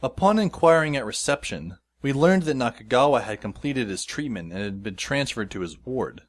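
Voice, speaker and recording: A man playing a wry, weary teenage schoolboy who narrates an audio drama in a dry, deadpan way. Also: medium pitch, moderate pace, natural voice. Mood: wry, pensive. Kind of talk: scripted first-person audio drama narration.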